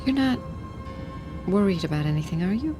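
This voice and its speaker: An actress playing a caring, reassuring wife in a radio drama, soothing and gently bossy with her husband. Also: lowish voice, animated, fairly quick, over music, natural voice. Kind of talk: vintage-style radio drama acting.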